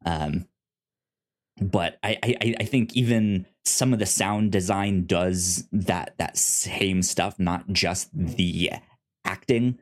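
A man with an American accent and a fairly low voice talks casually as a podcast co-host. He is halting and rambling, often stammering over words as he works through his thoughts. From United States. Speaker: podcast co-host reviewing audio fiction. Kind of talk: casual review discussion.

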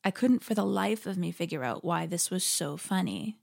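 A woman hosting a podcast, delivering a reflective scripted monologue in an earnest, measured, articulate way. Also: lowish voice, animated, creaky. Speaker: podcast host; interfaith leader.

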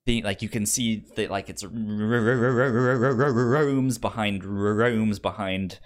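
A man co-hosting a casual film discussion, speaking haltingly and often repeating words and syllables. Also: lowish voice. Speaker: film podcast co-host.

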